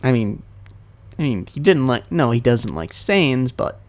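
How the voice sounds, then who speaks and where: Young male youtuber, unhurried, stumbling rambling delivery, middling pitch. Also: very animated, a little mellow. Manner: hesitant, many ums and self-corrections. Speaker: anime commentary youtuber. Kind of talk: casual rambling monologue.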